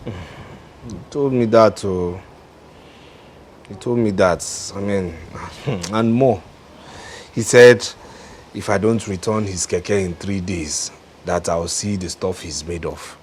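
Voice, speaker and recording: A man's voice: low-pitched, takes it slowly, pauses often, a little hiss or hum. A man playing a son-in-law who begs and then recounts what happened. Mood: recounting. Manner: begging, then recounting softly. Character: humble son-in-law, pleading and rattled.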